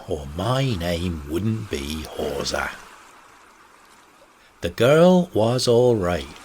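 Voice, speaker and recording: A man's voice: sits low, slight background noise. A man narrating a bedtime audiobook, telling an old seafaring yarn in the first person. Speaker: story reader.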